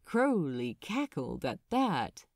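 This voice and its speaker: A woman reading a story as an audiobook narrator, expressive, dramatic and measured. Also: low voice, dry recording, clean studio sound.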